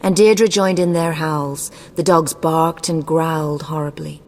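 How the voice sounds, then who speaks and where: A woman playing a haughty, scheming sorceress in a fantasy video game, speaking in a cool, measured, imperious way. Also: deep voice, steady tone. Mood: cool, evasive. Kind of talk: video game dialogue acting. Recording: long echoing hall reverb.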